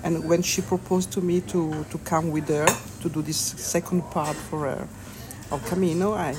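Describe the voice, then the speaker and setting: A woman from Italy, answering slowly and hesitantly as she searches for English words. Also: low voice, steady tone, background noise. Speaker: pilgrim interviewee.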